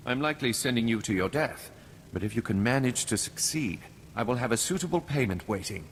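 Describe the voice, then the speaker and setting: An actor playing a scheming, formal aristocrat in a video game, speaking in a grave, measured manner. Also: male voice, mid-range voice, animated, close to an everyday voice. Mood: calculating. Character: scheming, formal male aristocrat hiring a killer. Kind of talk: scripted video game acting. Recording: reverberant hall, faint noise.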